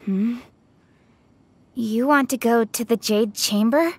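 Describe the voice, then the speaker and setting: A woman's voice: on the high side, takes it slowly, noisy background. A woman voicing a confident, blunt young noblewoman and official in a fantasy video game, formal and assertive. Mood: stern.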